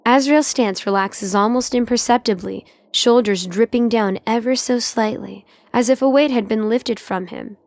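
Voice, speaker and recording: A woman's voice: a little high, moderate pace, hardly any pauses, a little mellow, dry recording. A woman reading scripted, dramatic story narration for an audio drama.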